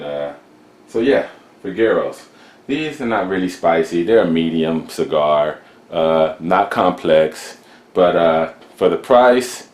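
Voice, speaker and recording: A man's voice: deep voice, echoey room. A man casually rambling as he shows cigars from his humidor in a vlog. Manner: laid-back, rambling, chatty with fillers. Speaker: cigar reviewer. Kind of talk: casual show-and-tell vlog. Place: room beside his humidor.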